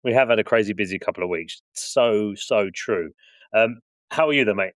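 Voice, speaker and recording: A man's voice: lowish voice, moderate pace, dry recording. A man co-hosting a podcast, upbeat and friendly, chatting and reading out news items. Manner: friendly, upbeat, says mate often. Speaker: podcast co-host. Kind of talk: casual chat, reading news.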